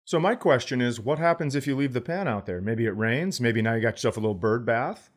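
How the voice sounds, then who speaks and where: A man's voice: mid-range voice, brisk. An American man hosting a podcast in casual comedic chat, profane and playfully argumentative. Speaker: podcast host.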